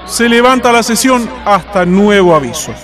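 A man's voice: high-pitched, lively intonation, moderate pace, crowd noise. A man with a Chilean accent playing a stern military prosecutor in a historical radio drama, formal and declamatory. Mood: solemn, accusing. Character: stern male military prosecutor pronouncing charges in court.

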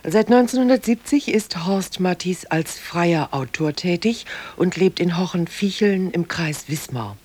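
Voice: medium pitch, unhurried, few pauses, a little mellow, slightly rough. A radio announcer reading a scripted introduction to a radio play.

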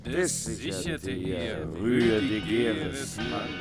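A man reciting archaic epic verse in a metrical, deliberate manner. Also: lowish voice, sing-song, loud music behind the voice.

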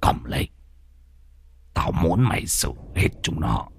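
A man voicing a cruel old sorcerer who speaks in a low, hushed, threatening way. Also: big pitch swings, takes it slowly, creaky, slightly rough, full character voice. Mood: menacing. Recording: faint music behind.

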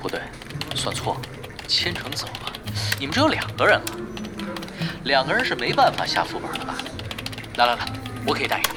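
Actor as a sly, animated young male gamer. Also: mid-range voice, fairly quick, long pauses, lightly acted. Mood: mock-innocent. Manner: teasing, mock-innocent. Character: sly, shameless, laid-back male pro gamer. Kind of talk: Chinese audio drama acting. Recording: music behind the voice.

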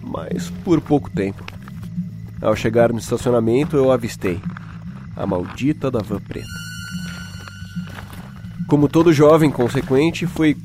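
A man's voice: mid-range voice, lightly acted. Male actor as scared young Brazilian captive, horror podcast drama, anxious colloquial confession, music underneath. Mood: nervous recounting. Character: frightened young male captive recording his horror testimony. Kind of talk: first-person horror audio drama monologue.

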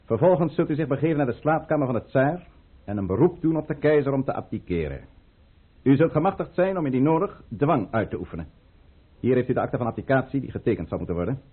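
Husky commanding count, phone-like sound. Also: male voice, mid-range voice, expressive, fast talker. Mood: solemn, commanding. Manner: formal, solemn, authoritative oratory. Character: commanding, calculating aristocratic count leading a conspiracy. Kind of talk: Dutch radio play acting. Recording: thin, phone-like old radio recording.

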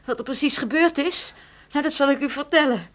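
A woman acting a shaken, indignant motel night porter who has been hurt, halting and aggrieved, in a radio play. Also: sits high, close to an everyday voice.

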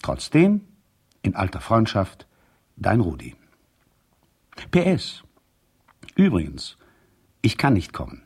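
Indignant proud husband, husky gravelly voice, emphatic, radio play letter monologue. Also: male voice, on the low side, wildly swooping pitch, slow pace, pauses often, darkish tone, heavily acted. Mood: angry, defiant. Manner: emphatic, indignant, swinging between outburst and restraint. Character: indignant, proud husband, boat builder and racer.

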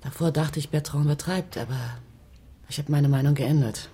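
A woman's voice: low voice, moderate pace, dark tone, slightly rough. An actress playing an inquisitive, self-assured woman journalist from Paris in a radio crime drama. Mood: cool, precise.

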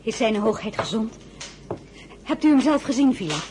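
A woman's voice: fairly high pitch, sing-song, normal speed, noisy background. An actress playing an anxious, tender royal lady, a general's wife, in a Dutch audio drama. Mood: anxious, questioning.

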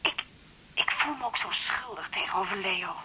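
A woman's voice: mid-range voice, normal speed, bright, forceful tone, a little hiss or hum, poor audio. An actress playing a flustered, old-fashioned middle-aged mother in a radio drama, chatting hesitantly over the telephone. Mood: flustered, embarrassed. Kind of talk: 1980s Dutch radio drama acting.

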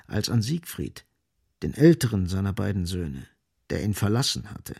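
An actor as the sardonic narrator of a literary radio play, gravelly and husky, speaking with ironic, dramatic emphasis. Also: male voice, low voice, big pitch swings, normal speed, darkish tone, exaggerated voice.

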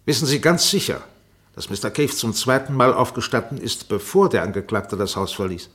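An actor playing a sharp, sarcastic defense barrister in a radio courtroom drama, pressing, insinuating and commanding. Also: male voice, mid-range voice, lively intonation, a little fast, lightly acted. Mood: coolly probing. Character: sharp, sarcastic male defense barrister cross-examining a witness.

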